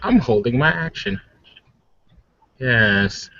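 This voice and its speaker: Casting: male tabletop player, casual, animated delivery, mid-pitched, over lo-fi voice chat. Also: takes it slowly, vocal fry, no room sound. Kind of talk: casual chat during a game.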